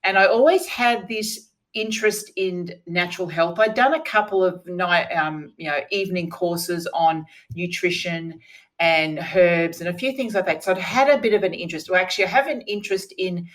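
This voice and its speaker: Chatty Australian woman, lower voice. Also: normal speed. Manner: chatty, with fillers and self-corrections. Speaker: guest, naturopath. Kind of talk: casual interview chat.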